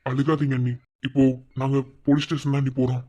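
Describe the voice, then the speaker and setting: A man playing a gentle, consoling young family member in a drama, speaking in soft, measured pleas. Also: medium pitch, little pitch movement, natural voice. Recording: dull, slightly lo-fi sound.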